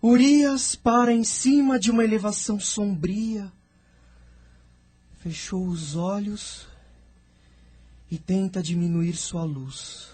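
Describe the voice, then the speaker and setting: A man's voice: high voice, takes it slowly, long pauses, a little mellow. A man narrating an audio drama from a script in a solemn, dramatic manner.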